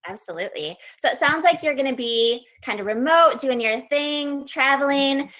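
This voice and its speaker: A woman's voice: high voice, animated, normal speed, a bit bright, rough recording. A woman co-hosting a dating show, talking in a gushing, enthusiastic way over a video call.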